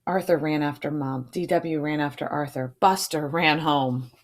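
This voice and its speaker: A woman's voice: sits low, steady tone, normal speed, a little hiss or hum. A woman reading a children's storybook aloud, adding informal asides and checking in with her listeners. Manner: checks in with listeners using questions.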